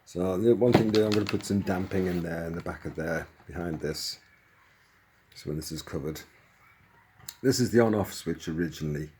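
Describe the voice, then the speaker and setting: A man's voice: sits low, a little fast, darkish tone, slight background noise. A man giving a casual, hands-on explanation as a hobbyist, informal and thinking aloud as he goes. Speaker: hobbyist presenter demonstrating a modified radio.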